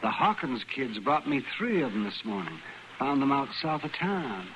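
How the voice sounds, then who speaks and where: A man's voice: medium pitch, big pitch swings, normal speed, lightly acted. A male actor playing a folksy, fussy older frontier doctor who mutters and exclaims in an old-time radio drama. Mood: absorbed, eager. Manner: fussy, muttering, hesitant interjections. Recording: noisy old radio recording.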